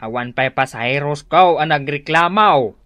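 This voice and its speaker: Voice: mid-range voice, moderate pace, no room sound, highs cut off, natural voice. An actor playing an indignant older male tricycle driver in an Ilocano radio drama comedy, protesting emphatically.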